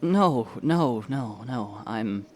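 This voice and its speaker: Man acting a slow-spoken, reserved young adventurer. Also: medium pitch, animated, darkish tone, slight background noise. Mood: hesitant. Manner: casual, halting when sincere. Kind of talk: fan audio drama acting.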